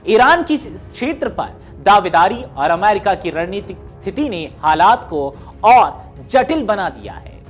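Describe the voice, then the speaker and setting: A woman reading scripted news narration in a formal, measured newsreader delivery. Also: medium pitch, big pitch swings. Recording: music behind the voice. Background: background music.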